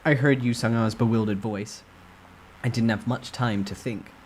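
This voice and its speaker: A man's voice: medium pitch, steady tone, normal speed, a little hiss or hum. A man reading a fantasy novel aloud as a dramatic, suspenseful first-person narrator. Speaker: amateur story narrator.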